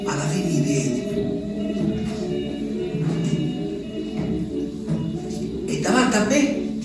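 An actor playing a simple, haunted peasant man in a halting, emphatic theatrical monologue for radio drama. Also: fairly high pitch, sing-song. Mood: haunted wonder. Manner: halting, emphatic, repetitive storytelling with dramatic swells. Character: simple, haunted Abruzzese peasant man recalling his abandoned wife. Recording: very echoey, distant stage sound with music.

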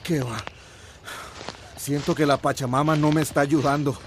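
An actor playing a determined, grieving village activist in a radio drama, insistent and repeating himself. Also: male voice, on the high side, steady tone, normal speed, background noise, lightly acted. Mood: resolute, brooding.

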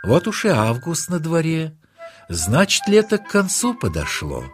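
A male storyteller narrates a children's fairy tale over clear background music. His delivery is slow and dramatic, with a very lively, swooping melody. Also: on the high side. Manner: expressive, dramatic.

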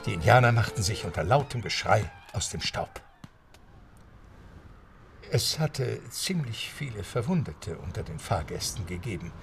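A man's voice: on the low side, big pitch swings, measured pace, creaky, soft background music. A man narrating a scripted adventure story as the narrator of an audio drama.

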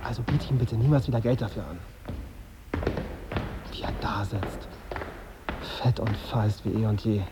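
A man's voice: on the low side, a little slow, pauses often, very noisy, natural voice. An actor playing a brooding, embittered young male journalist in a radio drama, with a sardonic, indignant manner. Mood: bitter, indignant. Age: young adult. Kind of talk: radio drama dialogue and inner monologue.

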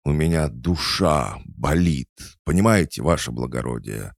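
A man acts out a drunken old storybook tomcat who fancies himself a great artist. He is theatrical and full of self-pity, drawling plaintively with a gravelly edge in a very dry recording. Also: a bass voice, expressive, unhurried, no echo, pitched above the performer's own voice, heavily acted.

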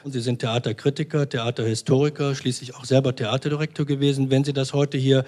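A man's voice: medium pitch, flat delivery, fast, darkish tone. A man moderating a panel, putting questions to a guest. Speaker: discussion moderator.

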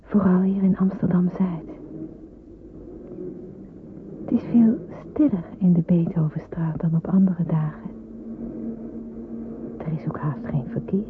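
An actress playing a gentle young woman who helps with small children in wartime, soft-spoken and reflective, in a radio play. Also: fairly low pitch, fairly even intonation, normal speed, noisy background, close to an everyday voice. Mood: dreamy, calm. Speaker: narrator and lead character of a radio drama. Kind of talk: first-person radio drama narration and dialogue. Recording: muffled, phone-like old radio recording.

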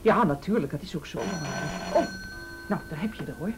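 A woman's voice: deep voice, expressive, normal speed. A woman acting a deferential, fussy neighbour in a radio play, gushing and seeking agreement. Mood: anxious, deferential. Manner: fussy, gushing, full of tag questions. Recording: faint background hiss.

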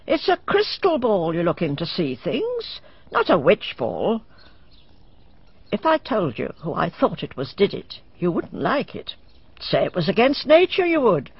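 A woman's voice: mid-range voice, huge pitch swings, unhurried, husky, dry recording, heavily acted. An actress playing a sly old village woman who tells fortunes and dresses as a witch, talking in a cryptic, gossiping, rustic way in a radio drama. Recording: dull dry radio drama recording.

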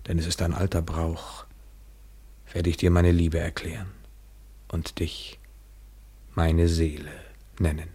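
A man's voice: sits low, darkish tone, husky, heavily acted. An actor playing a grave, devoted man in love, speaking in a poetic, solemn radio play style.

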